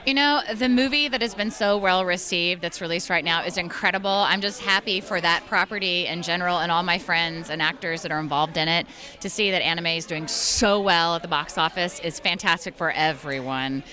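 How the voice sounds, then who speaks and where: Woman, bright tone, bubbly, chatty, laughs easily, convention interview. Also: on the low side, fairly quick. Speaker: voice actress and singer.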